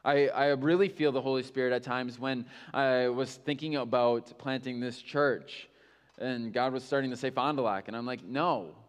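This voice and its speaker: A man's voice: medium pitch, fairly even intonation, moderate pace, clear, resonant tone, in a large hall. A male pastor preaching a sermon in a candid, conversational way, with self-mocking asides.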